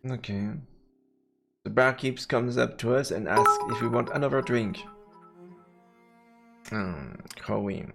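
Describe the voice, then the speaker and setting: A man's voice: medium pitch, slow pace, gravelly, long pauses. A man with a French accent reading game text aloud and adding casual, playful, theatrical commentary as a live streamer. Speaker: live streamer playing a visual novel. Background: faint game music.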